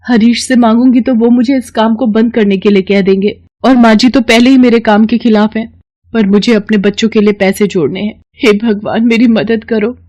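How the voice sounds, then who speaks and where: A woman's voice: a little high, steady tone, moderate pace, dark tone, dry recording, pitched above the performer's own voice, natural voice. A woman voicing an earnest, hopeful young wife and mother in a cartoon story, with a pleading manner. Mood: worried, pleading.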